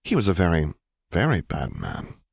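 Man voicing a measured, stern young mother. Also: deep voice, wildly swooping pitch, vocal fry, slightly rough, no echo, clean studio sound, heavily acted. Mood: menacing. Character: stern, simmering young mother, dangerous and caustic.

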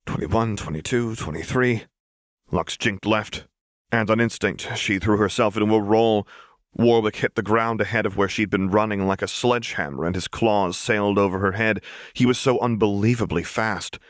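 A man reading an audiobook, narrating dramatic fiction in a measured storyteller's delivery. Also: on the low side, steady tone, no room sound, studio quality.

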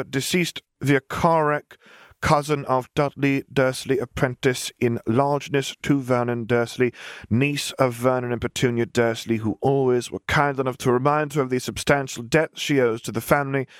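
A man's voice: mid-range voice, dry recording, studio quality, pitched above the performer's own voice, natural voice. A man voicing a precocious, oddly cheerful five-year-old girl with an earnest, matter-of-fact manner. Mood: solemn, self-important.

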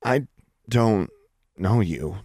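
A man's voice: lowish voice, expressive, very slow, a little mellow, dry recording, heavily acted. Guy reading as a beat-up but defiant teen witch girl, terse and sardonic, storybook style, very dry recording. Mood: pained, weak.

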